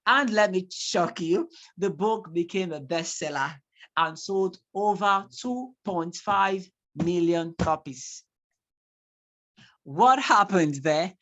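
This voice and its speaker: Nigerian-accented teacher, yoruba native, lively lecture style, says key stuff twice, mid pitch. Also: takes it slowly, lo-fi sound. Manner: animated, repeats key points for emphasis. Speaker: language and speaking instructor. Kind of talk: classroom lecture.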